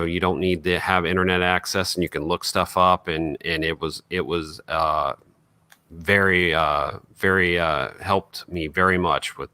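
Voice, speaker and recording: A man co-hosting a podcast, chatting casually and with enthusiasm in a halting, stop-and-start way. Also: low-pitched, sharp tone, no room sound. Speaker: podcast co-host.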